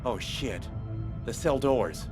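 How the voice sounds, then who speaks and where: A man's voice: mid-range voice, expressive, slow pace. An actor playing a protective male asylum aide in a video game, plain-spoken and giving instructions. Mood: worried. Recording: music behind the voice.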